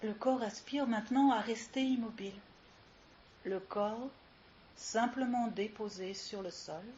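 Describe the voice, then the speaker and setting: Slow, higher-voiced woman guiding relaxation. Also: a little mellow. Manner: soothing, unhurried instructions with long pauses. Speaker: yoga nidra instructor. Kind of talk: guided relaxation meditation. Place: live session with ambient sound. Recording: muffled live recording with clear hiss.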